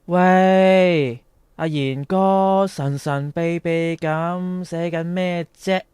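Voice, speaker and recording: A woman acting a lovestruck, excitable and cheeky teenage schoolboy in a youth radio drama, with a Hong Kong accent. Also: lowish voice, very slow, smooth, no echo, studio quality. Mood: teasing, smug.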